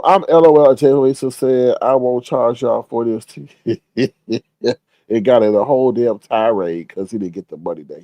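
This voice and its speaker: Stuffy-nosed male host, slangy gossip commentary, close dry mic. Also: no room sound. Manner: animated, laughing, loud pitch jumps on reactions; slangy, says "child" often. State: congested. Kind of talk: casual gossip commentary stream.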